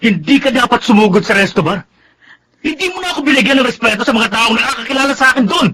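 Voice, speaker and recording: An actor playing a cheating husband in a Tagalog radio drama, defensive, pleading and self-justifying. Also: male voice, very high voice, lively intonation, fast, a crowd in the background. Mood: defensive, guilty. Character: cheating husband, guilty, defensive, appeasing his women.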